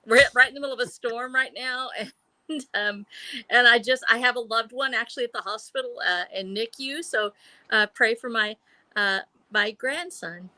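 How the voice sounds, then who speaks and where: A woman's voice: a little high, normal speed, bright, forceful tone, dry recording. A woman in her sixties, a guest on a live video call stream, chatting in a bubbly way and laughing often. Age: 60s. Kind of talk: casual chat on a live stream.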